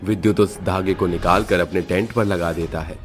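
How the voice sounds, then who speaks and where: A man's voice: low-pitched, steady tone, moderate pace, soft background music. A man reading a scripted horror story as a dramatic narrator.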